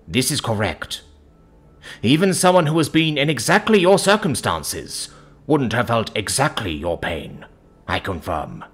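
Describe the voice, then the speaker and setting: A man's voice: mid-range voice, big pitch swings, breathy edge. Casting: serene, devout male adviser, played by a narrator man, earnest and firm, widely swooping intonation, audiobook fiction. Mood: gentle but firm. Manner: measured, earnest, preaching in metaphors. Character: calm, devout male counsellor, gentle but firm.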